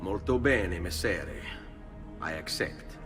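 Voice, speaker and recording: Young male adventurer, Italian accent, wry charm, bright forceful tone, animated game dialogue, faint music. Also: sits low, slow pace, full character voice. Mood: determined. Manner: suave, wry, playful. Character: cocky, charming young Italian nobleman assassin, playful and eager. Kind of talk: video game voice acting.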